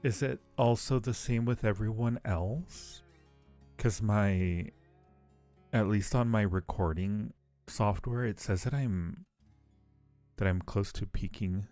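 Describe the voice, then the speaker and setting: A man's voice: lowish voice, slow pace, warm tone, soft background music. A man chatting casually and playfully with viewers on a livestream, teasing them affectionately. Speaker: live streamer hosting a chat.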